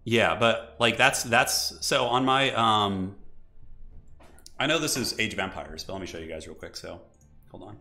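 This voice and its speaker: A man live-streaming a strategy game, chatting casually and enthusiastically with viewers in a slightly raspy voice from an irritated throat. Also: fairly low pitch, normal speed, bright, forceful tone, faint music behind. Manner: enthusiastic, informal, thinking aloud. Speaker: livestreamer, esports website organizer.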